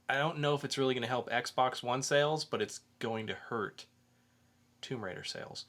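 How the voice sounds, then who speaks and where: Casual male podcast co-host, mid-pitched voice. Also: vocal fry, pushed and bright. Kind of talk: casual pop-culture news chat.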